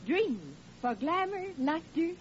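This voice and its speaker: High-voiced, eager schoolgirl pupil. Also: expressive, slow, full character voice. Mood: eager, gushing. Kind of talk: 1940s radio commercial acting. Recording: muffled, noisy old radio broadcast.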